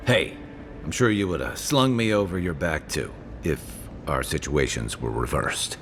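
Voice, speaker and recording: A man playing a gruff, wry and loyal travelling companion in a fantasy video game, talking in a conversational, dryly humorous way. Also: rumbling low, very animated, unhurried, a bit bright, a little hiss or hum, heavily acted. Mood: relieved, concerned.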